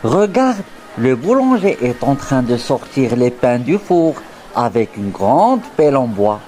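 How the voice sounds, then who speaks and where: A woman voicing a curious, excited young boy in scripted children's cartoon dialogue, with an enthusiastic, wondering delivery. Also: rumbling low, measured pace, heavily acted.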